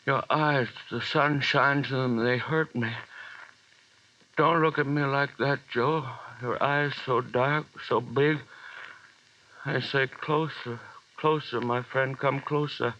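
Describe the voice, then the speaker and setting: A man's voice: medium pitch, slow, dry recording. An actor playing a lone man hallucinating as a giant spider closes in, in a breathless first-person monologue from an old radio horror drama. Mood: dazed, pleading. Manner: breathless first-person monologue, panic turning eerily calm. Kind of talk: 1930s radio horror acting. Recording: dull vintage radio recording.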